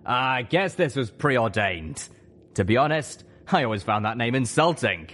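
A big clever dragon, played by a man in a storybook. He is wry and confident, drawing out his words in a lively voice. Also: medium pitch, a little slow, voice pushed up.

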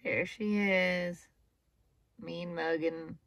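A woman's voice: lowish voice, fairly even intonation, very slow, a bit bright, dry recording, clean studio sound. A woman in her thirties chatting casually to a phone camera from her parked car, rambling with lots of fillers. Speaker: vlogger. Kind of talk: casual vlog chat. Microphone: phone camera at arm's length.

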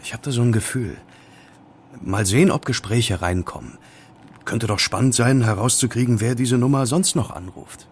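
A man's voice: mid-range voice, normal speed, slight background noise, lightly acted. An actor playing a curious, wary young male student sleuth in an audio drama, conversational and musing. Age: young adult. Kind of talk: German audio drama acting.

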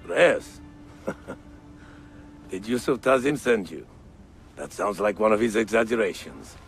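A gruff Ottoman navigator and bomb merchant talks in a low voice with a Turkish accent. He is proud, dry and deliberate. Soft game music sits underneath. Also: animated. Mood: dry, brusque. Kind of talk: cinematic video game acting.

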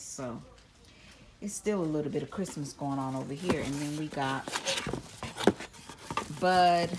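A woman's voice: sits low, noisy background. A woman, a mother filming a casual home vlog in a carpeted bedroom, chatting about what her kids got. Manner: chatty, talks baby talk to infant. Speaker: family vlogger, mother.

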